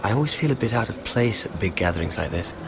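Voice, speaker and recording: A man's voice: on the low side, animated, quick pace, vocal fry. A man playing a clumsy, meek nobleman in a fantasy game, hesitant and apologetic as he talks. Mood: bashful, hesitant. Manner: hesitant, apologetic, soft-hearted. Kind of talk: fantasy video game acting. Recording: music behind the dialogue.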